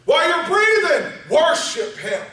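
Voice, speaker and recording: A man preaching a sermon, moving from quiet teaching up to shouted exhortation. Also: very high voice, very animated, a little slow. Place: church sanctuary. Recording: echoey church hall, voice from far off.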